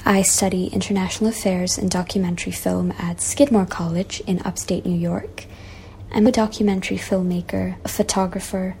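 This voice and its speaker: Young woman from malaysia reading her essay, earnest, mellow and a bit gravelly. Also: mid-range voice, slight background noise. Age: 20s. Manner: earnest, measured, repetitive refrains. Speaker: guest essayist. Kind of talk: reflective personal essay, read aloud. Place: childhood bedroom at home.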